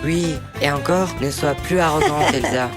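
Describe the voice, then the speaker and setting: A woman's voice: sits low, moderate pace, music in the background, close to an everyday voice. A woman voicing a blunt, sceptical young boy train in a children's cartoon, given to grumbling. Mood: friendly. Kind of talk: children's cartoon dub acting.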